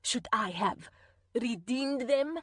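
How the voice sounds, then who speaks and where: Audiobook villainess type: a woman reading as a calm, chilling, self-righteous woman, slow and deliberate. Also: fairly high pitch, husky, no room sound, clean studio sound, exaggerated voice. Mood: cold, calm. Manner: slow, calm, measured, at times whispered. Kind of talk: audiobook character voice.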